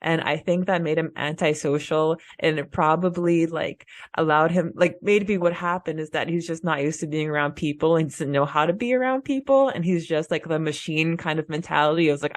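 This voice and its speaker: A woman co-hosting a podcast, chatting casually as a fan in an informal, halting way. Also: low-pitched, no room sound. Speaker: podcast co-host.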